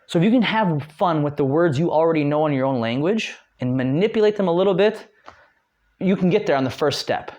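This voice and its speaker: Brief: young American man, Detroit, relaxed teaching chat, full of fillers and restarts, a bit high-pitched. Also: a little fast. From Detroit, United States. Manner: chatty, many fillers and restarts. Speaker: guest language learner. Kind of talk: casual spoken lesson.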